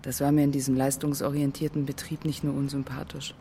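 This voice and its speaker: Reflective woman, mellow tone, measured delivery, naturalistic radio play. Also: very deep voice, little pitch movement, slight background noise. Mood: reflective, critical. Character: reflective woman recalling a male colleague and ex-partner. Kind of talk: naturalistic radio drama acting.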